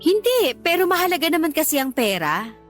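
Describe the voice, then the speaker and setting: A woman's voice: high-pitched, big pitch swings, brisk, faint music behind. A woman with a Filipino accent acting a defensive, clingy girlfriend and mother in a radio drama, argumentative and pleading.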